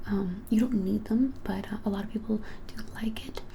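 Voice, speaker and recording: A woman in her twenties whispering a casual ASMR shopkeeper roleplay in a breathy, halting, helpful way. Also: medium pitch, fairly even intonation, a little hiss or hum. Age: 20s. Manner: soft, hesitant, halting, helpful explaining. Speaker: ASMR creator playing a witch shop keeper. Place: small plain indoor room.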